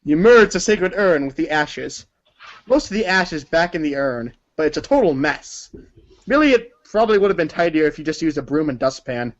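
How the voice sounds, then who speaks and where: A man with a fairly high voice narrates a story aloud. His delivery is dramatic and emphatic, like staged read-along theater. The recording sounds slightly dull and lo-fi. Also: expressive, moderate pace. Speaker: narrator of a group reading.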